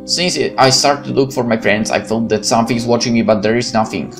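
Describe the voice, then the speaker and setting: A man reading a visual novel aloud in a theatrical, expressive manner, with spoken asides. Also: medium pitch, a little fast, gravelly. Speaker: gaming channel narrator. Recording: music behind the voice.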